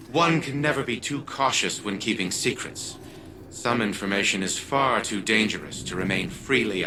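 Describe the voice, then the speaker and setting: An actor playing a haughty, calculating old scientist in a video game, speaking formally and imperiously over music. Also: male voice, lowish voice, noisy background. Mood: measured, self-assured. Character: haughty, calculating elderly male scientist patriarch. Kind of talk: video game cutscene acting. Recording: music behind the voice.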